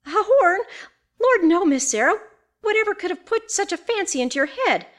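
A woman's voice: sits high, lively intonation, normal speed, voice pushed up. A woman voicing an anxious, superstitious housekeeper in a ghost story, deferential and exclamatory in a folksy servant's way. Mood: startled. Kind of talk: dramatised audiobook ghost story.

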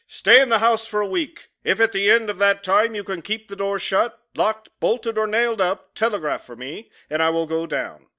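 Stern male employer, curt and scornful, a man puts him on: raised, loud, sharp delivery. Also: sits high, moderate pace, hardly any pauses, pitched above the performer's own voice. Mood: brisk. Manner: curt, scolding, emphatic.

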